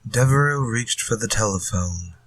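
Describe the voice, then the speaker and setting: A man's voice: lowish voice, lively intonation, no room sound, faint music behind. Man as ominous old-time radio horror host. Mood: suspenseful. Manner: slow, grave, deliberate. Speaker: announcer.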